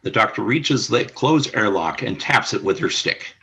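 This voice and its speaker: A man reading the stage directions of a fan radio play in an even, descriptive way. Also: lowish voice, normal speed, vocal fry. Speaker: narrator.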